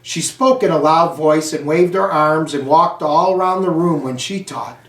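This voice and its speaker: An American man reading a children's storybook aloud in a deliberate, phrase-by-phrase way. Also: on the high side. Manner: slow, deliberate, phrase-by-phrase delivery. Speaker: school superintendent reading aloud. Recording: echoey room, distant microphone.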